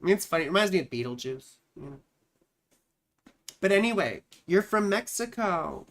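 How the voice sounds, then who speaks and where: Live-stream astrologer host, chatty and direct, echoes her own lines, mid-pitched voice. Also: big pitch swings, normal speed, a bit bright. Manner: chatty, repeats her own phrases, addresses viewers directly. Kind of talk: casual live-stream chart reading.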